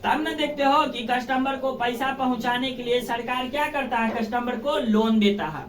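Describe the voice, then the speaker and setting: A man's voice: very high voice, normal speed. A man with a Bihari accent teaching a class in simple colloquial terms, repeating phrases and asking prompting questions. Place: echoey classroom with pupils present. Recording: echoey room, distant microphone.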